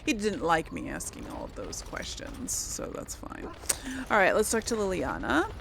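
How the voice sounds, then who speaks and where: A woman's voice: lowish voice, huge pitch swings, moderate pace, vocal fry, fairly bright tone, a little hiss or hum. A woman hosting a casual game playthrough, chatting and thinking aloud hesitantly as she role-plays dialogue. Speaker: gaming host and role-player.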